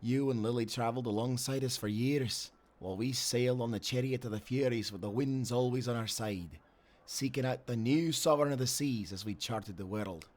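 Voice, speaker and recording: A man plays a seasoned old sailor in a cinematic audio adventure, with a middle-pitched voice. He is devoted and nostalgic, an earnest storyteller with salty, old-fashioned phrasing. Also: moderate pace, darkish tone, close to an everyday voice. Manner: earnest storytelling, archaic nautical turns of phrase. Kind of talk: cinematic audio drama acting.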